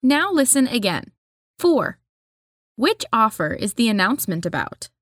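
A woman announcing the numbered questions of a listening test, reading slowly and deliberately. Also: fairly high pitch, big pitch swings, dry recording, clean studio sound. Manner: slow, deliberate reading of instructions. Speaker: test narrator.

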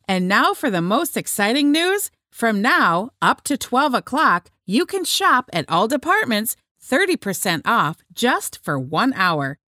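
A woman reads scripted dialogue for a listening exercise, her voice sitting a little high with a lively, swinging melody. She speaks clearly and evenly. The recording is dry and close, with no room sound. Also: unhurried, hardly any pauses, no room sound. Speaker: listening test voice actor.

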